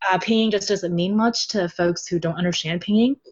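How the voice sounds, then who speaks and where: Laughing, animated woman guest on a podcast. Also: mid-range voice, brisk. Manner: animated, laughs often, expressive storytelling. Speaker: guest translator. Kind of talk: casual interview chat. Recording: slightly dull lo-fi sound.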